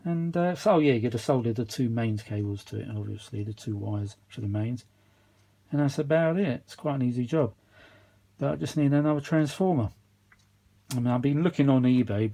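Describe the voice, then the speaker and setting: A man with a mid-pitched voice chats casually as he works. He rambles without a script, grumbling in a mildly weary way, like a hobbyist repair vlogger talking through a job. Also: dark tone. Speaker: vintage audio repair vlogger. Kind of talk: casual unscripted workshop chat.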